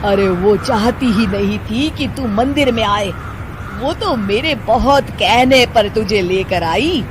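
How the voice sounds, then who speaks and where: A woman playing a jealous, meddling older aunt in an animated moral story, scheming and scornful in manner. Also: medium pitch. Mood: scheming, bitter. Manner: scheming, scornful, drawn-out complaining. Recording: clear background noise, outdoor street ambience.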